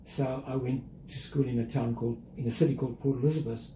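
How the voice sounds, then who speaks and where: An older man with a South African accent, speaking as an interview guest in a measured way with frequent fillers and restarts. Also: medium pitch, fairly even intonation, mellow, reverberant, slight background noise, rough recording. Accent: South African English. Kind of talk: conversational interview.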